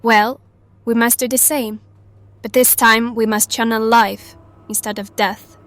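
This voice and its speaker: A woman's voice: fairly high pitch, level tone, a little slow, a bit bright. A woman acting in an audio drama as a mysterious, earnest maiden and fearless ally with cryptic, formal phrasing. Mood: assured, solemn.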